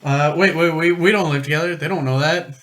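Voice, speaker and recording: A man's voice: a little high, fairly even intonation, brisk, fairly bright tone. A man co-hosting a podcast with casual, wry, joking banter. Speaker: podcast co-host.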